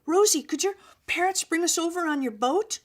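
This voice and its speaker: A woman voicing a bold, eager young girl in a children's storybook, loud and excitable. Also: extremely high pitch, normal speed, pitched above the performer's own voice. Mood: excited.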